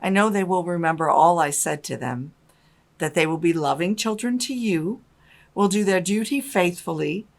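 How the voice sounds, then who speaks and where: An actress playing a loving, patient mother in a dramatised storybook, with a gentle and measured manner. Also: lowish voice, creaky. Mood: tender.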